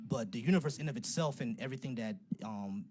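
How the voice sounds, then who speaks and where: A man's voice: medium pitch, fast talker. Laid-back man hosting a noisy live stream. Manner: loose and talkative, laughs often. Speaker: livestream host. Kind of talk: casual call-in debate chat. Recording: noisy livestream audio.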